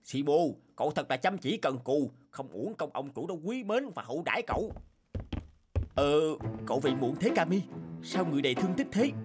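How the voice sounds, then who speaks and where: Lively man voicing a cartoon folk tale. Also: a little high, moderate pace. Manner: animated, emotional line delivery. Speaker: voice actor doing all male parts. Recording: music bed under voice.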